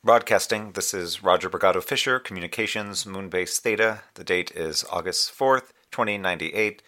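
Formal moon base officer, bright voice. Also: male voice, low voice, a little hiss or hum. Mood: formal, matter-of-fact. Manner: measured, official. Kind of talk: audio drama status report.

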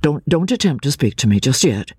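An earnest, anxious gentleman from a classic novel, played by a man reading aloud. He soothes gently in a husky voice that rises and falls freely. It is recorded close and dry. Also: a little high, very animated, brisk, no echo, heavily acted. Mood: gentle soothing. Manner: gentle, anxious, repeating himself reassuringly. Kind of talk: audiobook character acting.

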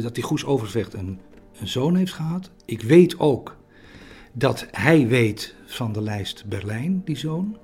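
A man with a dark, husky voice speaks haltingly, as if in an interview. He chooses words with care and repeats them, with faint music underneath. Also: mid-range voice. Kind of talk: hesitant recollection in an interview.

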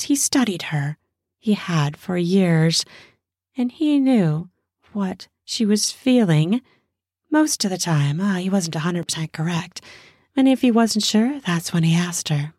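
A woman narrator reads an erotic story in a lowish, husky voice. She takes her time, very slowly drawing out phrases with intimate deliberation. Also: darkish tone, no room sound, studio quality.

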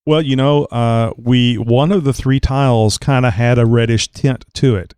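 A man's voice: medium pitch, steady tone, normal speed, a little mellow, clear, resonant tone, dry recording. A man hosting a casual chat show, rambling with lots of filler words, his voice a little crackly as he recovers from a cold. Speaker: podcast host.

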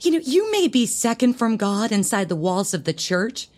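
An actress playing a prim, bossy, judgmental church youth leader in a comedic audio drama, sweetly stern and passive-aggressive with dramatic pauses. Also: female voice, mid-range voice, big pitch swings, a little mellow, long reverb tail, lightly acted. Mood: suspicious, self-satisfied.

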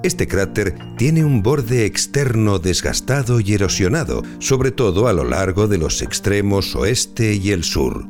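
An actor playing a calm, obliging spaceship artificial intelligence in an audio drama, explaining things in a formal, measured way. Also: male voice, fairly low pitch, over music. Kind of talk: audio drama acting, measured informative delivery.

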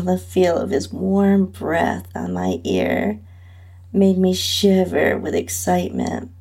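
Female narrator for erotic audio fiction: lower register, husky, slow delivery. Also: dark tone. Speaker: podcast host and narrator. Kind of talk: first-person erotic audio story narration.

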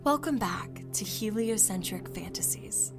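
A woman playing a pseudo-mystical flat-earth video host, delivering a portentous, rhetorical monologue. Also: medium pitch, a little slow, over music. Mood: solemn, portentous.

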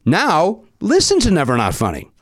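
A middle-aged man with a raspy voice hosting a comedy podcast, talking loudly with quick, self-mocking jokes into a studio mic. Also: a little high, wildly swooping pitch, no echo. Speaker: podcast host. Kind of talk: casual comedy podcast chat. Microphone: studio mic with headphones.

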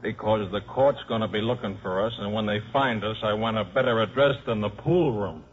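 A man's voice: fairly low pitch, a little fast, close to an everyday voice. An actor playing a wisecracking, down-on-his-luck football coach and father with a dry, sardonic manner in a 1950s radio drama. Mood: weary, gruff. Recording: muffled old radio broadcast recording.

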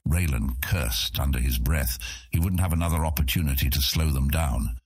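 Deep husky guy narrating a fantasy audiobook, super dry sound. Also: moderate pace, no echo, faint music behind. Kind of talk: scripted fantasy story narration.

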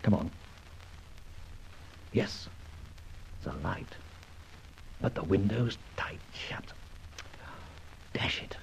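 An actor playing a suave, self-assured gentleman adventurer with an arch, taunting manner, in the style of a vintage radio serial. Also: sits low, animated, very slow, creaky, pauses often, a little hiss or hum, full character voice. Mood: hushed, alert. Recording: muffled old radio recording.

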